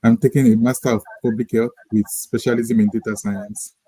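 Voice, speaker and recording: A man's voice: mid-range voice, level tone, brisk, warm tone, slightly rough, dry recording, low-quality recording. A man with a Nigerian accent, a postgraduate student answering questions on an online panel. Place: online video call.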